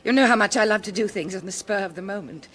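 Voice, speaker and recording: An actress playing a gushing, affectionate society wife in a classic radio drama. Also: female voice, medium pitch, fast talker, fairly bright tone, natural voice. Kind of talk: 1950s-style radio drama acting.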